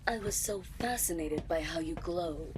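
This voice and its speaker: Woman playing a low, gushing alien scientist. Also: moderate pace, lightly acted. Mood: fascinated. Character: chatty, eager female alien scientist, endlessly fascinated. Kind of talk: science fiction audio roleplay acting. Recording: clear background ambience noise.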